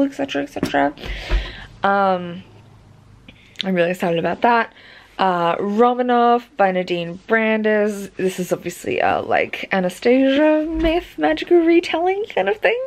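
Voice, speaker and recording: A woman in her twenties, a book vlogger, chatting casually at home with plenty of ums and asides, her voice gone croaky. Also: mid-range voice. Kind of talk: casual chat.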